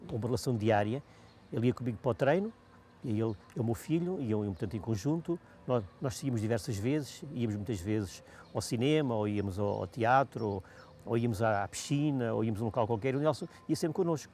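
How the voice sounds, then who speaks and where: An older man, a coach, speaking haltingly in an interview and repeating words and fillers. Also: medium pitch, animated, a little mellow, slight background noise. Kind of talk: interview recollection.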